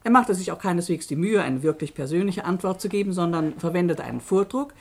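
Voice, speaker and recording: An actress playing a narrator in a radio play, explaining a case in a composed, wry manner. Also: female voice, on the low side, expressive, quick pace, slightly rough. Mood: wry, explanatory. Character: wry female narrator presenting a case to listeners.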